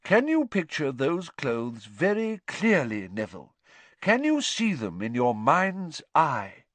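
A man voicing a kindly, cheerful male professor in a gently theatrical, encouraging manner. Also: fairly high pitch, huge pitch swings, slow, dry recording, voice pushed up, exaggerated voice. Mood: cheerful teacherly. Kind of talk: audiobook character voice.

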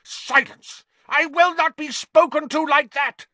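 Storybook villain voiced by a man: fanatical, spiteful professor, hissing and spitting, very animated pitch, close dry sound. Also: measured pace, dry recording, full character voice. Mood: deranged fury. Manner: spitting, hissing, sneering, erupting into shrieks. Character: vindictive, fanatical adult male wizard professor, sneering.

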